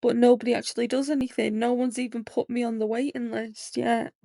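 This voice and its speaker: A woman's voice: fairly high pitch, level tone, fairly quick, gravelly, warm tone, no echo, studio quality. A woman in her late twenties hosting a podcast, talking candidly in a rambling personal monologue with lots of fillers. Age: 20s. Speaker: podcast host.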